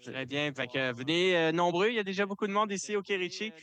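A man doing a live radio report from an outdoor event, briskly handing back to the studio. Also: sits high, fairly even intonation, sharp tone. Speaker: field reporter.

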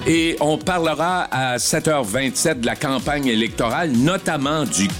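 A man's voice: a little high, moderate pace. A man with a Quebec French accent giving an informal, conversational morning radio news briefing. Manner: informal, addresses co-host directly. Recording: music clearly playing behind voice. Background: instrumental music.

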